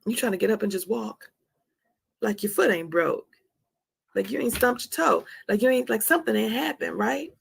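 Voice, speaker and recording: Female, forties, heavily creaky and husky. Candid motivational speaker, leans on repetition for emphasis. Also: medium pitch, animated, normal speed. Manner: emphatic, repeats phrases for effect. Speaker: host of a live talk on anxiety.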